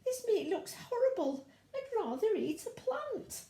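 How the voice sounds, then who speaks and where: A woman voicing a timid, gentle baby dinosaur boy in a storybook, plaintive, halting and apologetic. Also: extremely high pitch, big pitch swings, vocal fry, reverberant, voice pushed up, exaggerated voice. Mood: meek, apologetic.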